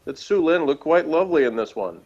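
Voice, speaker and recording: A man's voice: on the high side, sing-song, brisk, a little mellow, muffled recording. A man co-hosting a casual, opinionated episode review in a rambling, loosely phrased way, with faint noise behind him. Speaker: review show co-host.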